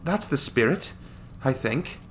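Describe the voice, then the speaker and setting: Man putting on a high-pitched caring young woman. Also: animated, unhurried, slight background noise, close to an everyday voice. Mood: encouraging. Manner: earnest, gently reassuring. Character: caring, encouraging young woman friend of the hero.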